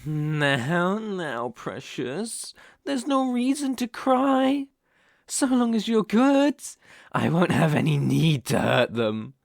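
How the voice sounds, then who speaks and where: Man acting gravelly, possessive British boyfriend. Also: sits high, expressive, dry recording, studio quality, full character voice. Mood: condescending, coaxing. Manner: soft coaxing menace, slow deliberate emphasis. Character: obsessive, possessive, menacing young boyfriend holding partner captive. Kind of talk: intimate ASMR roleplay acting.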